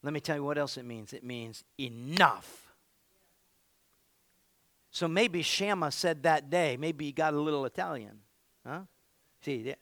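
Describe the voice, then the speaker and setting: A man preaching with a high, bright, ringing voice that swings from playful joking to shouted exhortation. He plays to his congregation with an energetic, punchy delivery. The sound is close and dry. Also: unhurried, long pauses, no echo. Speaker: pastor. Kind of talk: energetic sermon.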